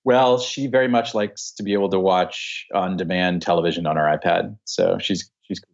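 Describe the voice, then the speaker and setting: A man's voice: low voice, fairly even intonation, normal speed, creaky, highs cut off. A man chatting casually as a guest on a podcast. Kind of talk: casual chat.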